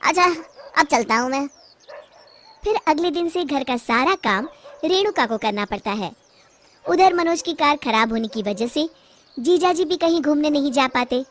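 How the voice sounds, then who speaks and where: A woman's voice: sits high, expressive, normal speed, slight background noise. A woman narrating a scripted cartoon family story.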